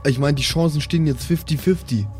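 A man's voice: a little high, a little fast, darkish tone, soft background music. A man playing in an improvised tabletop game, talking casually and with slang, feeling his way through sentences. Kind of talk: casual improvised role-play.

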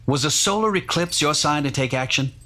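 An actor playing an earnest, formal news correspondent in a dramatized historical report, pointed and declarative. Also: male voice, fairly high pitch, a little fast, bright, forceful tone. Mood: probing. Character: earnest male newspaper-era reporter, presenting like a news correspondent.

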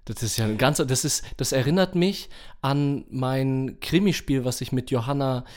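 A man's voice: medium pitch, normal speed, a little mellow. A man co-hosting a podcast, chatting informally and thinking aloud as he reminisces. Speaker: podcast host. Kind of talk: casual chat, personal reminiscing.

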